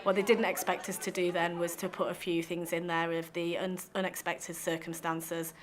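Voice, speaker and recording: Flat, lowish-voiced woman explaining. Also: normal speed, noisy background. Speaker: workshop organiser. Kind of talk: explaining an activity in an interview.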